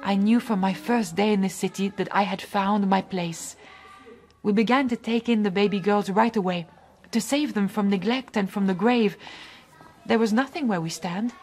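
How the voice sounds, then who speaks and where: An actress playing a devoted, chatty nun in a radio drama. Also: female voice, mid-range voice, normal speed, darkish tone, natural voice. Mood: fond, reminiscing. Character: devoted, chatty French nun, gently self-reproaching.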